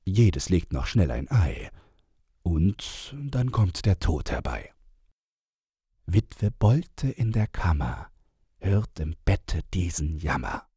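Deep-voiced, expressive male storyteller. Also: takes it slowly, breathy edge, no room sound, clean studio sound. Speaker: audiobook narrator. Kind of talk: scripted narration of rhyming children's verse.

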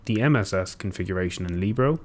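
A young man giving a technical walkthrough, steady and explanatory with occasional ums and self-corrections, recorded at a desk in a small room. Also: low voice, fast. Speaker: engineer presenting a tutorial. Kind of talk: technical walkthrough over screen share.

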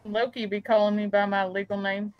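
A woman's voice: mid-range voice, little pitch movement, brisk, clear, resonant tone, highs cut off. A woman bantering casually in character as she plays a roleplay game.

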